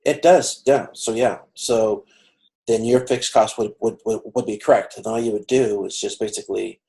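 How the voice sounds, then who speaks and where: A man with a creaky voice teaching an online class informally, explaining step by step in a halting way on a video call. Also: on the low side, steady tone, mellow, rough recording. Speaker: instructor of an online college class. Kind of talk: informal lecture working through a cost example. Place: video conference.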